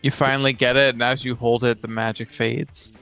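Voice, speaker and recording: Smooth, gravelly-edged man, fairly even delivery. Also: mid-range voice, brisk, faint music behind. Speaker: podcast co-host. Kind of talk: casual chat. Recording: slightly dull, lo-fi microphone sound.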